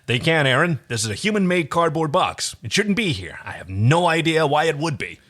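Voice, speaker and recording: Man reading as a lively, wisecracking adventurer. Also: a little high, fairly quick. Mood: puzzled. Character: puzzled, wisecracking human man, adventurous crew member. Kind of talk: amateur fan fiction reading.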